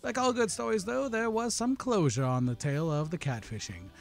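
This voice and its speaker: A man's voice: sits high, fairly quick, vocal fry. A man reading a sardonic scripted voice-over that recaps a radio show. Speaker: narrator of a recap segment. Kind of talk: scripted comic voice-over narration.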